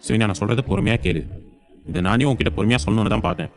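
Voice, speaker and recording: Young husband, played by a man: lowish, quick, anxious, placating, audio serial drama. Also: close to an everyday voice. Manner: pleading, placating. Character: earnest, pleading young husband, anxious to explain.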